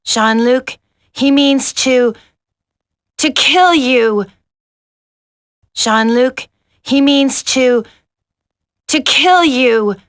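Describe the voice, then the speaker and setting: An actress playing a brave, gentle female teammate in a video game drama, speaking in a halting, earnest way. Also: sits high, no room sound, clean studio sound, heavily acted. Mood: grave, hesitant.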